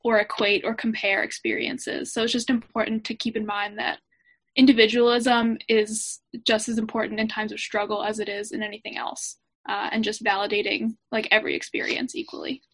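A woman of about twenty from Pennsylvania, speaking as a student guest on a panel. She talks thoughtfully and fills her pauses with ums and uhs. Her voice sits a little high. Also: little pitch movement, fairly bright tone. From Pennsylvania, USA. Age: around 20. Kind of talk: discussion on a panel.

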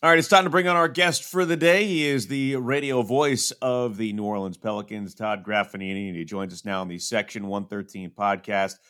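A man with a fairly low voice hosts a sports podcast. His delivery is conversational sports commentary, the talk of someone presenting a show. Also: steady tone, fairly quick, few pauses, fairly bright tone, smooth.

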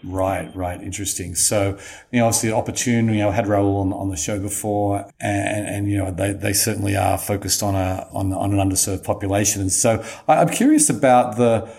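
Low-voiced male podcast host. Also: fairly even intonation, brisk, creaky, darkish tone, husky. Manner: conversational, with restarts and fillers. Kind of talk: podcast interview.

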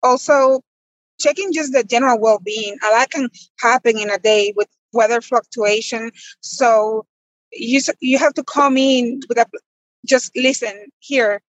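A woman's voice: on the high side, fairly even intonation, unhurried, no room sound, low-quality recording. A woman in her thirties from the Republic, answering interview questions conversationally as a farmer guest. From Dominican Republic.

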